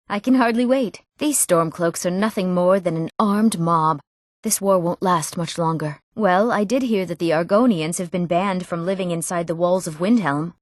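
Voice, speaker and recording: A woman's voice: mid-range voice, no echo, clean studio sound, close to an everyday voice. An actress playing an eager, earnest young woman in a fantasy video game, speaking with careful articulation. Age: young adult.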